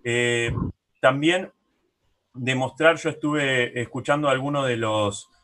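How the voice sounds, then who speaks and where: A man's voice: mid-range voice, slow pace, pushed and bright, slight background noise. A man hosting a radio interview, talking conversationally in short, hesitant fragments.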